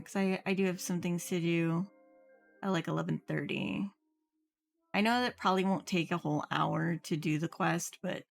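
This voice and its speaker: Woman streamer with a slightly creaky voice. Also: fairly low pitch. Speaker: game streamer and VTuber. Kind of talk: casual livestream chat while gaming. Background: game music.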